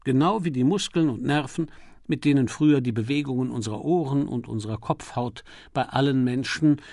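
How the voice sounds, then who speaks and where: A man reading a crime novel as an audiobook narrator, with a dramatic, deliberate delivery and emphatic pauses. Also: medium pitch, dark tone, no room sound, studio quality.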